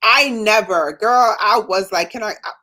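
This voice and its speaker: A woman with a slight Jamaican accent, talking fast and with emphasis as she tells her own story in a casual live chat. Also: medium pitch, sharp tone. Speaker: nurse and business owner, guest.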